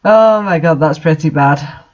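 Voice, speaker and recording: A man's voice: a little high, a little slow, lo-fi sound. A man reading a story aloud and commenting on it in a mocking, exasperated way. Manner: exasperated, sardonic. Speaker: reader and commentator of bad fan fiction.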